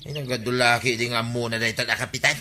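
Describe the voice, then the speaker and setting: A man's voice: medium pitch, little pitch movement, quick pace, sharp tone. A man playing a plain-spoken, grumbling Filipino villager in a comedy radio drama. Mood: impatient.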